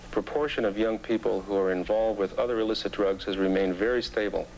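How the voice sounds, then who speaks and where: A man giving a formal, measured interview as a research expert, recorded on an old documentary soundtrack. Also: fairly low pitch, a little mellow, slight background noise, poor audio. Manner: measured, precise.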